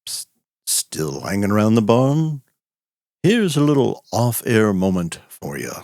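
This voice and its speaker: A man's voice: fairly low pitch, sing-song, unhurried, a little mellow, no echo. A man hosting and narrating a cowboy audio show in a folksy, homespun way.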